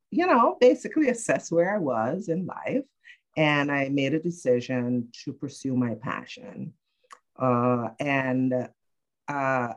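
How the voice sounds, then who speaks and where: A woman in her fifties from Guyana, a panel guest telling her life story in a measured, reflective way with frequent "uh" pauses. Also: a bass voice.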